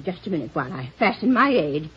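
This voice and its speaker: A woman's voice: lowish voice, sing-song, quick pace, husky, heavily acted. An actress playing a chirpy, easily amused and slightly fussy hard-of-hearing old lady in an old radio drama. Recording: muffled old radio recording.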